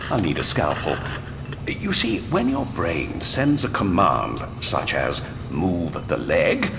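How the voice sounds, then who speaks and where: A sinister mad scientist in an audio drama. His lowish voice swoops wildly through courteous, condescending phrases with theatrical relish, grand and genteel, over noisy ambience. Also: sing-song, slow, creaky, in a large hall, full character voice. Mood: grandiose, lecturing. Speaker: villainous doctor. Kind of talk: full-cast audio drama acting.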